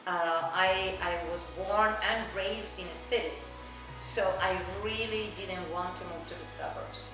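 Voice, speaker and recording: A middle-aged woman with a strong Argentine Spanish accent chats loosely about her life, dropping plenty of "you know"s. Her voice sits at an ordinary pitch for a woman. The recording rings with heavy room echo, and clear music plays behind her. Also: fairly even intonation, measured pace. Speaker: immigrant interviewee. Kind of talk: casual oral history interview.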